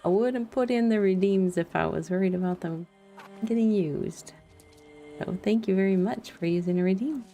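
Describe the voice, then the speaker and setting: A woman's voice: medium pitch, normal speed, mellow. A woman livestreaming a game with casual, playful, self-deprecating commentary. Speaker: game streamer and host. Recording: music playing behind the voice. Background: background music.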